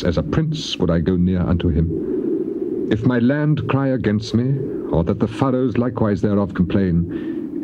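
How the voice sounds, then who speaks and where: On a dull, noisy tape, a male actor plays a righteous, suffering biblical man defending his honour. The voice is fairly low, with solemn, theatrical declamation. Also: close to an everyday voice. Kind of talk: dramatized scripture reading, theatrical delivery. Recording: muffled tape transfer, noisy.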